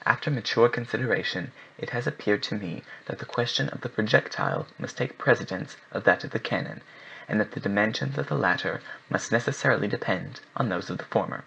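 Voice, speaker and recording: A man voicing a solemn older gun club president who speaks in a measured, formal way. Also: fairly low pitch, gravelly, sharp tone, husky, exaggerated voice. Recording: muffled with faint hiss.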